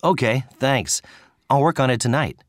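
A man voicing students and a professor in scripted dialogues for a listening exam, with a clear, friendly delivery. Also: mid-range voice, normal speed, dry recording, clean studio sound.

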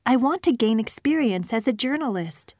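A woman voicing students, a professor and an office clerk in scripted exam dialogues, with a clear, polite delivery. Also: on the high side, animated, moderate pace, darkish tone, no echo, clean studio sound.

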